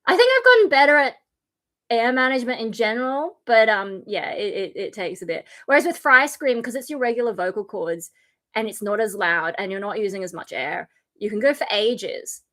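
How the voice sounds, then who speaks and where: A woman's voice: on the high side, animated, a little fast, a bit bright. A tired woman streamer and metal vocalist chatting casually, explaining screams with lots of fillers and harsh scream demonstrations. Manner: explains loosely with many fillers, breaks into harsh unvoiced scream demos. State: tired, voice worn to fry.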